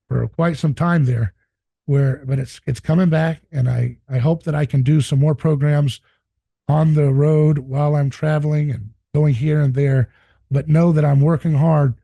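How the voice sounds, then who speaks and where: A hoarse man hosting his own show, talking earnestly to viewers in a loose monologue full of restarts. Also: fairly high pitch, warm tone, dry recording. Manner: earnest, repeats words and restarts often. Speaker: podcast host.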